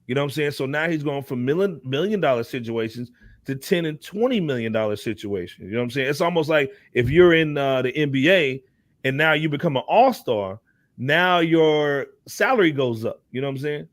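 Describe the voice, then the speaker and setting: Dry-sounding, higher-pitched man talking hip hop. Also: brisk, dry recording. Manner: animated slangy talk with repeated check-in phrases. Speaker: talk show panelist. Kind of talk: casual hip hop commentary.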